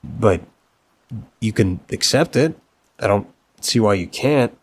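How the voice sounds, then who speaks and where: Casting: male actor for devoted mafia boss boyfriend, deep voice, courteous and a little hesitant, affectionate, for intimate audio roleplay. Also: lively intonation, takes it slowly, slightly rough, full character voice. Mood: amused, earnest. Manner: polite, earnest, hesitant with stammers and soft chuckles.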